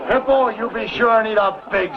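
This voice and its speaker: A man's voice: sits high, brisk, natural voice. An American actor playing a boisterous, commanding mining camp man who bosses his crew jovially in a vintage western. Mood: jovial, bossy. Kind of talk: 1950s-60s western TV acting. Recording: dull old soundtrack, noisy outdoor crowd.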